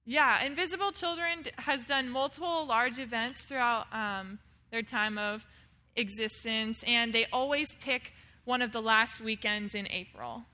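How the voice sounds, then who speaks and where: A woman's voice: mid-range voice, bright, forceful tone. Upbeat young woman hosting a Q&A. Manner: upbeat, chatty, many fillers. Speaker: event host. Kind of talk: hosting audience Q&A.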